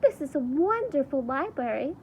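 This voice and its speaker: A well-mannered, inventive girl from a children's storybook, voiced by a woman reader. She speaks earnestly in a fairly high voice, with slight noise in the recording. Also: big pitch swings, moderate pace, darkish tone, poor audio. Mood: polite, eager.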